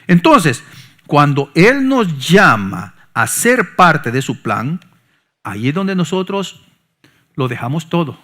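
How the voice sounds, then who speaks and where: A middle-aged pastor, preaching a sermon that swings from forceful emphasis to soft, low asides. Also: male voice, big pitch swings, slow pace. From Honduras. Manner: preaching with sudden soft drops and rising emphasis.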